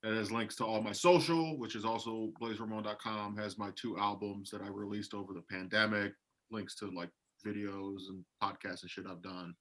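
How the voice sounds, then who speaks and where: A man's voice: fairly low pitch, fairly even intonation, moderate pace, fairly bright tone. Laid-back rambling comedian guest, man. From Ohio, USA. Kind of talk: casual podcast chat. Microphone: video call.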